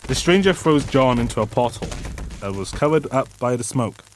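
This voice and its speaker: A man's voice: mid-range voice, lively intonation, brisk, a little mellow, a little hiss or hum, natural voice. An actor playing a sardonic, theatrical male narrator who mocks the hero in a scripted comic radio drama. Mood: dramatic storytelling. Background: music.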